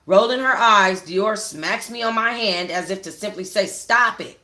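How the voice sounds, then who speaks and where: A woman book club reader narrating a first-person story aloud in an informal, expressive way. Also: mid-range voice, moderate pace. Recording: echoey home room.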